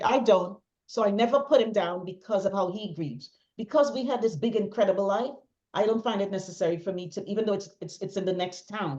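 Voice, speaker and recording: Middle-aged female interview guest, chatty and emphatic, repetitive phrasing, muffled low-fidelity audio. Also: mellow. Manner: talkative, emphatic, repeats phrases for stress. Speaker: interview guest, bereaved mother. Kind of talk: personal testimony in conversation.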